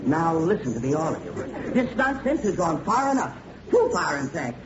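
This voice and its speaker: A dull, hissy vintage radio comedy recording of a fussy middle-aged father. His voice is very high and indignant, sputtering and repeating itself in exasperation. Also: very animated, moderate pace. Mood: exasperated. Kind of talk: 1940s radio comedy acting.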